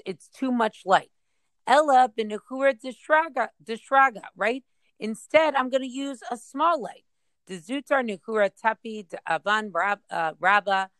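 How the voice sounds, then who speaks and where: A woman's voice: mid-range voice, fairly bright tone, no room sound. A woman reading aloud from a Talmud passage and explaining it in casual study talk, thinking aloud with many fillers, self-corrections and a frequent "right?". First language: English. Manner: reads Aramaic passages then paraphrases. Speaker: study partner.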